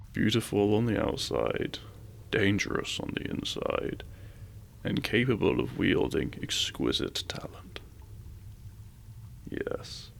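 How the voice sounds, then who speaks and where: A man playing an imperious, possessive dragon king in a romantic fantasy audio roleplay, speaking with formal, commanding courtesy and a gravelly voice. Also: sits low, slight background noise, heavily acted. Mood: seductive, possessive. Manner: formal, commanding, deliberate menace with seductive courtesy.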